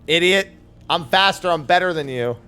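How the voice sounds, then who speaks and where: A man's voice: on the high side, moderate pace, bright, forceful tone, smooth, faint music behind. A man streaming a game with casual, blunt and sweary commentary. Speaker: streamer playing a story game. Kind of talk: casual game commentary, reading dialogue aloud.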